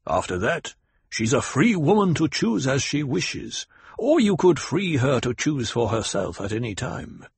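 A man voicing a stern, measured leader of a desert tribe, commanding and deliberate, in an audiobook. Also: medium pitch, sing-song, gravelly, husky, no room sound, voice pushed up, heavily acted. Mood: formal.

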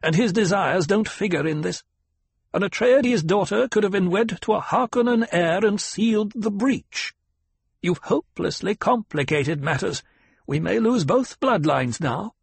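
A man voicing a cruel, demanding old woman leader in an audiobook, sneering and mocking, with a gravelly, husky edge. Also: sits high, expressive, a little slow, no echo, voice pushed up, exaggerated voice. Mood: scolding.

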